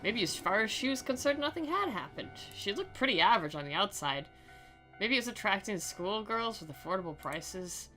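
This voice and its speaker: A woman's voice: mid-range voice, big pitch swings, a little fast, bright, forceful tone, soft background music. A woman giving sarcastic commentary while reading a visual novel aloud in a mock-dramatic way. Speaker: game commentator and reader.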